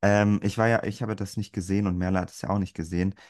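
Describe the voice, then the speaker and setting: A man with a very deep voice steers a relaxed conversation. He asks questions in a curious, searching way, sometimes restarting mid-sentence as he works out what he wants to know. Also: quick pace. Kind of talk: casual discussion.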